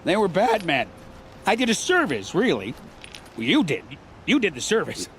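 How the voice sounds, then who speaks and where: A man's voice: sits high, wildly swooping pitch, moderate pace, a bit bright, a little hiss or hum, exaggerated voice. An actor playing a cowardly, ingratiating male swindler who stammers nervously in a video game. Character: cowardly, grovelling male swindler pleading for mercy.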